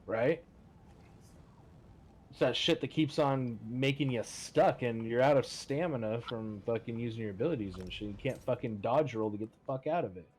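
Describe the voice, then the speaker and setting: A man with a husky voice chatting casually and swearing freely while gaming. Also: mid-range voice, moderate pace, darkish tone, slight background noise.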